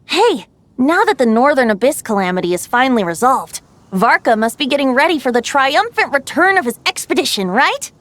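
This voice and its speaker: A woman's voice: high voice, sing-song, a little fast, a bit bright, no echo. A woman voicing a youthful, breezy male bard in a video game, with a lilting, theatrical storyteller's manner. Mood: light, amused. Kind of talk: video game character dialogue.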